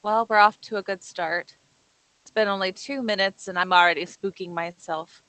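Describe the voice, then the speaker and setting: A woman's voice: mid-range voice, steady tone, normal speed, a bit bright, natural voice, poor audio. Woman playing a young woman muttering to herself. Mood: spooked, wry. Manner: self-directed muttering. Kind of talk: horror script table read.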